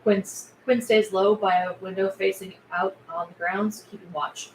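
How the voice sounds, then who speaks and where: A woman's voice: mid-range voice, steady tone, normal speed. A woman reading stage directions aloud as scripted narration at a table read. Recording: echoey room, faint background noise.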